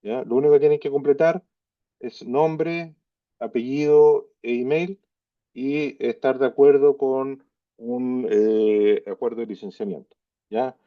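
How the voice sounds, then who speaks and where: A man finishing a conference presentation, often pausing to check in with the audience. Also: mid-range voice, fairly even intonation, dry recording. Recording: distant dull lo-fi sound, very dry.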